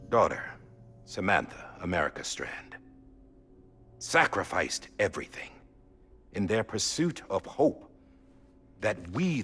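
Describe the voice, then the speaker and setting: An actor playing a solemn statesman giving a heartfelt memorial address in a game cutscene, with measured, emphatic, husky oratory. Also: fairly low pitch, a bit bright, full character voice. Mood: solemn, mournful. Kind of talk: formal public speech in a game cutscene.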